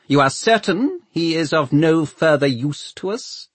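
A man's voice: a little high, expressive, a little slow, no room sound, voice pushed up. A man voicing a commanding, coolly ruthless male Lord Mayor with an imperious, clipped manner in an audiobook. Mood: curt, imperious.

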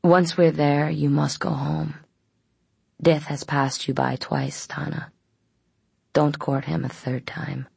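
Brooding vampire man, acted by a woman narrator: courtly, eerie, ornate wording, low husky delivery. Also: level tone, takes it slowly, long pauses, darkish tone, dry recording, exaggerated voice. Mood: grave. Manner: oddly formal, ornate speech. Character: male vampire, formal, brooding, old-fashioned and eerie.

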